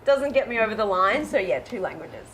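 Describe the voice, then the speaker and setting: A woman's voice: medium pitch, very animated, brisk, a bit bright, slight background noise, poor audio. A woman in her twenties, raised in Israel, chatting as a guest and laughing often. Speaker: guest, reality show runner-up. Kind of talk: casual interview chat.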